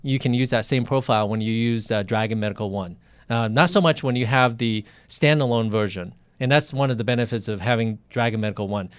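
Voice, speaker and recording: Smooth-voiced man hosting an eager interview. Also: mid-range voice, fairly quick, no room sound. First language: not English. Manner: enthusiastic, many restarts and fillers. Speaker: urologist hosting a live interview. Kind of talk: casual live video interview.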